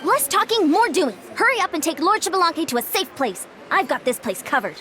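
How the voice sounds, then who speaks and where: A woman voicing a brisk, commanding female guard in a video game cutscene. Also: very high voice, big pitch swings, bright, forceful tone, exaggerated voice. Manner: brisk, bossy.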